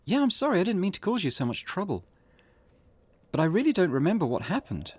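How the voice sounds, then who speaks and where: Fretful young woman friend voiced by a man, high and swooping, pushy. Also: huge pitch swings, quick pace, breathy edge, no room sound, heavily acted. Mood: apologetic. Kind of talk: reading game dialogue aloud.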